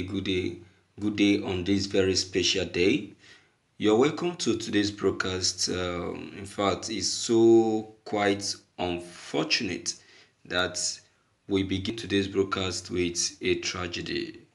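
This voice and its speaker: A Nigerian man hosts a news commentary in a low, gravelly voice. He speaks very slowly and leans on his words with emphasis, often repeating them. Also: steady tone. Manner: emphatic, repeats phrases for stress. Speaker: online news commentator, host.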